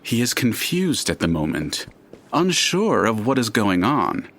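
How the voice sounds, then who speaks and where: A man playing a chatty, curious, all-knowing narrator in an audio drama, with a dramatic and deliberate storytelling manner. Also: mid-range voice, sing-song, a bit bright, full character voice. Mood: theatrical, observing. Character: chatty omniscient male narrator, theatrical and curious.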